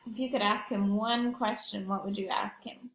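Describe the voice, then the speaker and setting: A woman's voice: mid-range voice, fairly even intonation, normal speed, roomy sound, low-quality recording. A woman teacher reading aloud to young children, explaining simply and expressively and asking the listeners questions.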